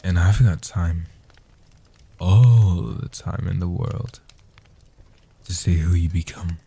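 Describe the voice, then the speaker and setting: Man as a deep, breathy guardian vampire. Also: warm tone, exaggerated voice. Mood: tender, reassuring. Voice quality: breathy, husky, half-whispered. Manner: slow, soothing, reassuring. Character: gentle old male guardian vampire, tender and protective. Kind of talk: soft-spoken comfort audio roleplay.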